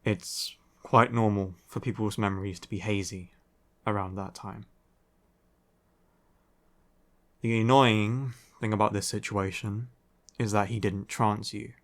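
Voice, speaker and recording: A man playing a grumpy young male vampire in an intimate audio roleplay, curt and deadpan, with a reluctantly caring streak. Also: on the low side, moderate pace, natural voice. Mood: grave, brooding. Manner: deadpan, curt, dry sighing delivery. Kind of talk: audio roleplay, intimate ASMR-style acting.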